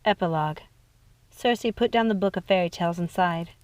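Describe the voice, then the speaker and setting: A woman's voice: lowish voice, a little fast, a little mellow, no echo. A woman narrating a storybook expressively as an audiobook reader.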